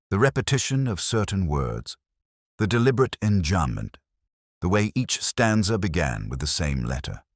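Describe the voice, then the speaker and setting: A man reading a scripted first-person detective story in a brooding, measured, literary manner. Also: low-pitched, no echo, clean studio sound. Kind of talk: scripted literary mystery narration.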